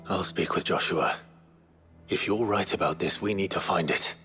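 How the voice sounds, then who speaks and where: An actor playing a grave, earnest male hero in a fantasy video game, measured and serious. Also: deep voice, a bit bright, slightly rough, faint music behind, exaggerated voice. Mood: resolute. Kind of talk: video game dramatic dialogue.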